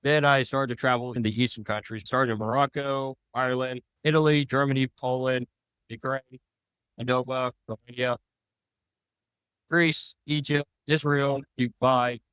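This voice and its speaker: A man speaks as a podcast guest in a conversational way. His voice is smooth and clean at a middling pitch, recorded close and dry, and his explanations wander loosely. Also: fairly even intonation, normal speed, fairly bright tone, no room sound. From United States. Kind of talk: conversational interview answers.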